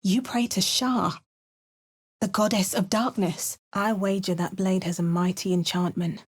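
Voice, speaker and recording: A woman's voice: mid-range voice, fairly even intonation, measured pace, slightly rough, no room sound. An actress voicing a wary, determined female adventurer hero with a British accent, trading dry asides with storybook narration. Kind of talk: video game character lines.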